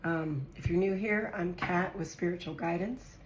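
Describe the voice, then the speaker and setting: Hoarse low-voiced woman reading tarot. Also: moderate pace. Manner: chatty, thinks aloud while drawing cards. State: hoarse, raspy from a virus. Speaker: tarot reader. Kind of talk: casual tarot reading to viewers. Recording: echoey room with faint noise.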